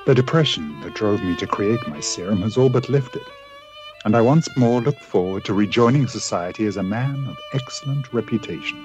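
A man playing a cultured, secretive gentleman doctor in a radio play, speaking formally and theatrically. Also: fairly low pitch, dull audio. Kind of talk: radio play acting, journal monologue.

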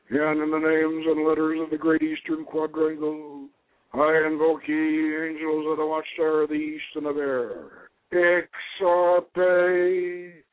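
Male ritual host, unhurried and halting, solemn in invocations and informal in instruction, dry phone-quality radio sound. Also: a little high, no echo. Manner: halting, deliberate.

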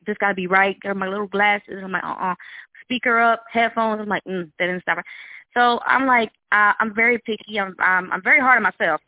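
A woman guest producer chatting casually on a radio show, animated and self-deprecating. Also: mid-range voice, no echo. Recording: tinny phone line.

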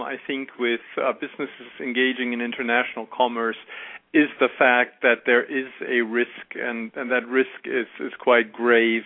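Flat-voiced German man on a phone line. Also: mid-range voice, no echo. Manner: measured, with many fillers. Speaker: guest expert in international payments.

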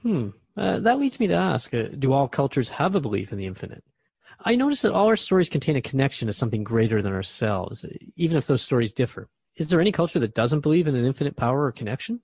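A man's voice: mid-range voice, big pitch swings, quick pace, vocal fry, a little mellow, breathy edge, heavily acted. A man playing an earnest, inquisitive radio host in a scripted talk-show drama, speaking conversationally. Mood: curious. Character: earnest, curious male radio host questioning a spirit guest.

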